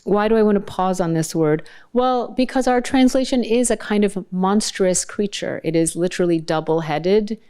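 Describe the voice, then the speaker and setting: A woman whose first language is Bengali, giving an academic lecture with slides and often pausing to search for her words. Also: medium pitch, mellow, smooth. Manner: thoughtful, searching for words mid-sentence. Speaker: translator giving a keynote talk.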